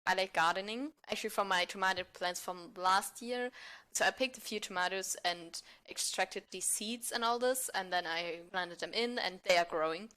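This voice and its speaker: Young woman chatting casually, medium pitch. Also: moderate pace, pushed and bright, clean tone, low-quality recording. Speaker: podcast guest, amateur theatre member. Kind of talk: casual chat.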